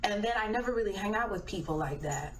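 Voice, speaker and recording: A woman in her twenties chatting casually on a livestream, relaxed and self-deprecating about herself. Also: mid-range voice, quick pace, distant mic. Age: 20s. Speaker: singer and producer on a livestream.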